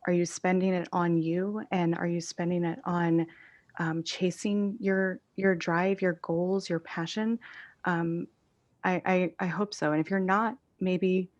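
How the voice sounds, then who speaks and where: A woman's voice: on the low side, fairly even intonation, unhurried, no echo, dull audio. A woman panelist speaking reflectively and encouragingly over a video call. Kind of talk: panel discussion.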